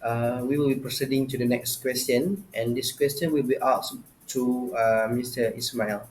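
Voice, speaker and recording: A man's voice: medium pitch, steady tone, moderate pace, soft background music, low-quality recording. A man moderating an academic interview as a student interviewer, thanking guests formally and introducing questions courteously.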